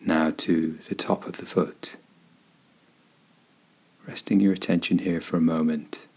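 A man with a low, husky voice leads a guided meditation. He gives gentle, soothing instructions and leaves unhurried pauses between phrases. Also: little pitch movement. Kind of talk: guided body scan meditation narration.

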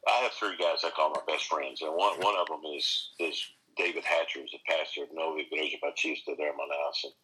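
A pastor and seminary teacher answering interview questions earnestly, with frequent restarts and rising emphasis. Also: male voice, a bass voice, fairly even intonation, a little fast, sharp tone, husky, low-quality recording.

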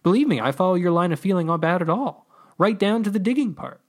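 Man acting a high, animated, wordy underworld escapee. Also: fast talker. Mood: chatty, agreeable. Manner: rambling, mock-formal, exclamatory. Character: flustered, chatty, wordy male underworld escapee.

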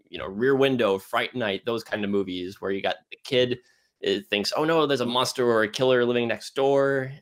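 A man's voice: mid-range voice, a bit bright. A man co-hosting a casual film discussion, talking enthusiastically in a rambling way that halts mid-phrase. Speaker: podcast co-host reviewing films.

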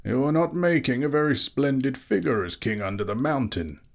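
A man voicing an old wizard in a dramatic storybook reading, commanding and wry, with drawn-out, authoritative phrases. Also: mid-range voice, vocal fry, voice pushed up, lightly acted. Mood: reproving.

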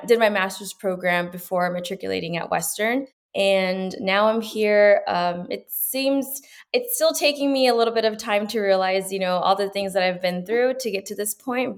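A woman in her twenties, from Peru, telling her story as an interview guest in an earnest, rambling way. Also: mid-range voice. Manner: earnest, rambling with fillers and restarts. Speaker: guest, medical student. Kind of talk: conversational interview.

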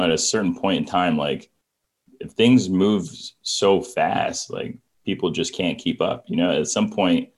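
A man in his twenties, a guest on a podcast, talking in a relaxed, reflective way with many "like"s. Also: very deep voice. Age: 20s. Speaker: guest, visual effects artist. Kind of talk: casual interview chat.

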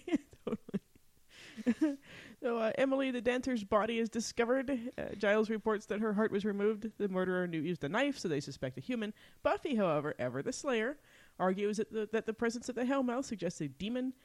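A woman's voice: a little high, animated, a little fast, a little mellow. A woman co-hosting a podcast, chatting casually through a TV recap and often laughing as she talks. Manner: giggly, frequently laughing while talking. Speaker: podcast co-host. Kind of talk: casual TV episode recap chat.

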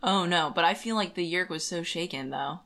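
A woman co-hosting a podcast, chatting casually about a book in an animated, colloquial way. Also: fairly low pitch, moderate pace, a crowd in the background. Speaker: podcast co-host. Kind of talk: casual book discussion chat.

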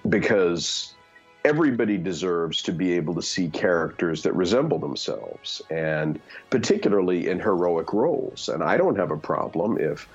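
A man's voice: sits low, big pitch swings, normal speed, husky, lo-fi sound, soft background music. A man in his fifties giving candid opinions in conversation, earnest, with many fillers and restarts. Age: 50s. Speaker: guest.

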